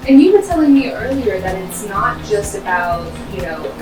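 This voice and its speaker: A woman's voice: medium pitch, big pitch swings, moderate pace. A woman hosting a casual interview in an informal, conversational way. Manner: informal, uses y'all. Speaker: interviewer, host. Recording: echoey room, music behind.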